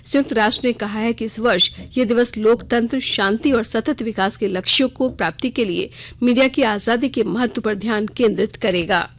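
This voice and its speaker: A woman's voice: fairly high pitch, moderate pace. A woman news reader delivering a scripted radio bulletin in a formal news-reading style.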